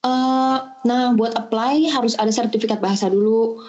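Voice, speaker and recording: A woman's voice: on the high side, fairly even intonation, moderate pace, a little mellow, dull audio. A young woman from Bandung, a podcast guest, chatting casually and explaining things step by step with many hesitations over a remote call. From Bandung, Indonesia. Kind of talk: casual chat.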